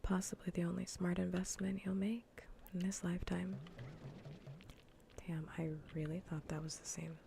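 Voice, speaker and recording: A woman whispering softly and breathily in an ASMR gaming stream, playfully bossy and dryly sarcastic, close to the microphone. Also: on the low side, little pitch movement, normal speed, vocal fry, noisy background. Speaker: livestreamer.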